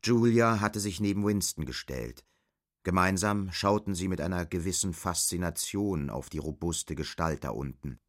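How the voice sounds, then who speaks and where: A man's voice: low voice, animated, normal speed. A man narrating a radio drama as a scripted literary reading.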